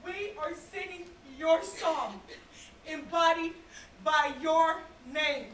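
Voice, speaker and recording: A woman's voice: very high voice, a bit bright, a little hiss or hum. Woman doing a solemn stage monologue, far from the mic, echoey hall. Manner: solemn, deliberate, with dramatic pauses. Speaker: stage performer reading a memorial monologue. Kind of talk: dramatic stage monologue recital. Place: theatre stage, recorded from the audience.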